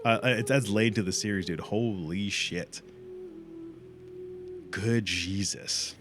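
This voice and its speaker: A man's voice: lowish voice, slow, music in the background. A man chatting casually as a livestream host and gamer, rambling in loose slang with frequent swearing.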